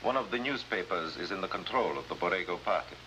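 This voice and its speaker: An actor playing a formal, cautious head of government, in measured 1950s drama style. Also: male voice, sits low, lively intonation, pushed and bright. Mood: grave, cautious. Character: grave, formal Latin American head of government. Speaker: actor in a supporting role. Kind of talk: 1950s spy drama acting. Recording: noisy vintage 1950s broadcast recording.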